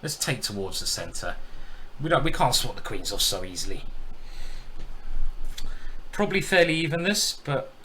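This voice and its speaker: A man's voice: mid-range voice, sing-song, moderate pace, pauses often, bright, forceful tone, slight background noise. A man giving casual, jokey live-stream commentary on his own chess game, greeting viewers as he thinks aloud.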